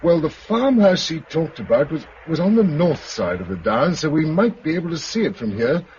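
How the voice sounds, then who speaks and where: A man's voice: fairly high pitch, big pitch swings, moderate pace, darkish tone. A man playing a resolute, practical, protective British man in a 1950s radio drama. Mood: practical, determined. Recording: dull, noisy vintage radio recording.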